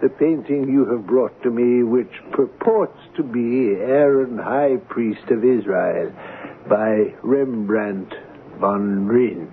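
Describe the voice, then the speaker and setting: Radio play: venerable art expert, seventies, grave, gravelly, slow, on a tinny 1970s broadcast. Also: male voice, medium pitch. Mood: grave, measured. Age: 70s. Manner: deliberate, dramatic, measured phrasing. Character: venerable old art authority, grave and exacting, in his seventies. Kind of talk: 1970s radio drama acting. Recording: tinny, faintly noisy 1970s radio recording.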